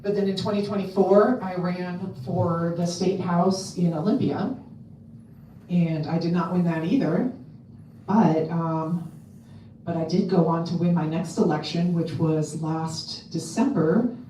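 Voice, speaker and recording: A woman with a loud voice giving a casual talk to a convention audience, chatty and full of ums and restarts. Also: lowish voice, steady tone, measured pace, slight background noise. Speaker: convention speaker. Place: convention hall. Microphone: handheld mic on a stand. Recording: echoey hall, mic at a distance.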